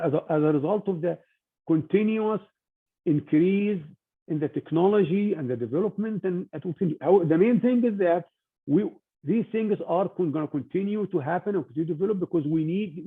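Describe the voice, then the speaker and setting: An older man from Egypt giving an informal talk to students, with halting speech and frequent restarts over a tinny video-call line. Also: on the high side, creaky, husky. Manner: halting, many restarts and fillers. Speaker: guest speaker.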